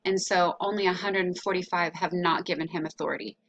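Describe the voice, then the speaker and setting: A woman presenting a website audit as a consultant, talking through a screen share in a casual, explanatory way with hesitant pauses. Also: on the low side, steady tone, a bit bright, muffled recording.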